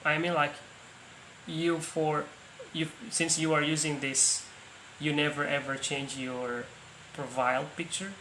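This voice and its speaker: A man in a casual online chat, talking in halting, repeated phrases. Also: on the high side, flat delivery, bright, forceful tone. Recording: echoey room, faint noise.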